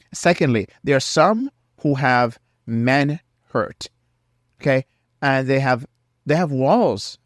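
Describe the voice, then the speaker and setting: A man's voice: medium pitch, no room sound, studio quality. Nasal man with a head cold. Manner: earnest, preacherly, repeats key phrases. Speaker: podcast host. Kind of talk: faith-based marriage advice monologue.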